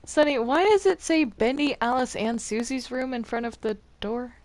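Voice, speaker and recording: A woman's voice: a little high, animated, moderate pace, a little mellow. A young woman chatting casually and teasingly while playing a roleplay game. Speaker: roleplay channel host playing a character. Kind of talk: casual chat while gaming.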